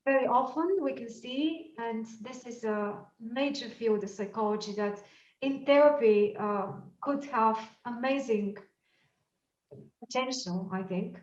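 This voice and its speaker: A woman from Bulgaria with a Bulgarian accent, teaching astrology in a thoughtful lecture and often pausing with fillers as she searches for words. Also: a little high, a little mellow. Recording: echoey room, mic at a distance, dull sound.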